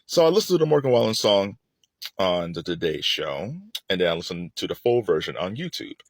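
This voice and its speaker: A man's voice: low voice, big pitch swings, a little fast, dry recording, clean studio sound. A middle-aged man giving casual, opinionated music commentary in a conversational way, repeating phrases for emphasis.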